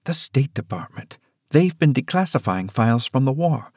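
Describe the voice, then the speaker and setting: Man doing a shaky, urgent grown woman, pleading, raised voice, lively swings, dry sound. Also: medium pitch, moderate pace, husky, no echo, pitched above the performer's own voice.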